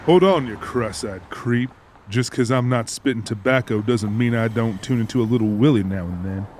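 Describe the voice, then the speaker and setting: A man's voice: mid-range voice, normal speed, darkish tone, close to an everyday voice. Guy acting fed-up everyman buddy, medium voice, dry scolding banter, bit of noise behind. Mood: exasperated. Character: exasperated, wisecracking everyman sidekick to a killer. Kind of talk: audiobook character acting.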